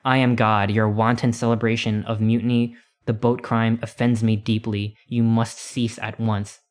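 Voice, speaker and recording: A man voicing a booming, wrathful male God who speaks from the sky with stern, slow commands. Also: little pitch movement, close to an everyday voice.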